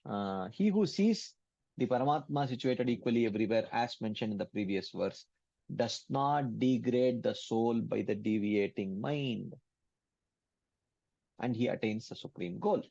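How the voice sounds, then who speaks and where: A man leading an online scripture study class. He chants verses in Sanskrit, then explains them in English in a halting way, with many fillers and restarts. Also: mid-range voice, lively intonation, vocal fry, warm tone, dry recording. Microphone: computer mic on an online call. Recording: dull, dry lo-fi call audio.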